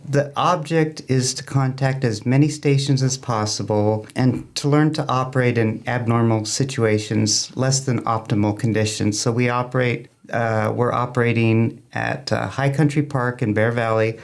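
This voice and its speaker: A man with a gravelly, fry-laden voice talks as an interview guest. He explains things in a plain-spoken, folksy way and rambles a little as he goes. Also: mid-range voice, fairly even intonation, normal speed, hardly any pauses. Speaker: amateur radio club member, guest. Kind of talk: informal interview talk, explaining.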